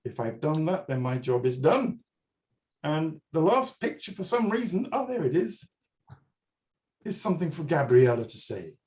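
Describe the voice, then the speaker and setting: An older man in his sixties leads a workshop over a video call, with a slightly raised voice. He tells stories in an animated way and turns to his audience with questions. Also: fairly high pitch, moderate pace. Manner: animated, storytelling, asks the audience directly. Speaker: workshop presenter. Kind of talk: online workshop talk.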